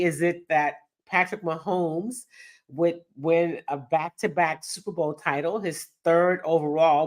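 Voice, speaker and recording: A middle-aged woman from Texas hosting a live sports talk show, her voice nasal from a cold, upbeat and chatting directly with viewers. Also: on the low side. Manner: upbeat, addresses viewers directly, reads chat aloud. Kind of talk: live-streamed casual show chat.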